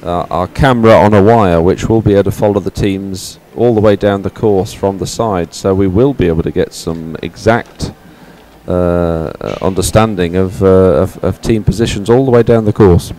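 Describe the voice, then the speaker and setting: Low-voiced male sports anchor, outdoor noise behind. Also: moderate pace, dark tone. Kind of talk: live sports commentary, announcing results.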